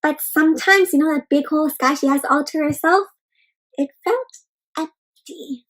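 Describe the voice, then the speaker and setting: A woman doing an interactive children's read-aloud, expressive and full of exclamations, often putting questions to young listeners. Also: high-pitched, moderate pace, faint music behind, low-quality recording. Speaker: host reading a picture book aloud to children.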